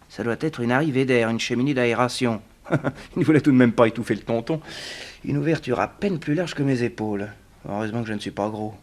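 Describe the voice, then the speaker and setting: An actor playing a wry, resourceful detective held prisoner, in the theatrical, ironic style of a 1960s French radio serial. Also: male voice, mid-range voice, natural voice. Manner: theatrical, ironic, muttering to himself.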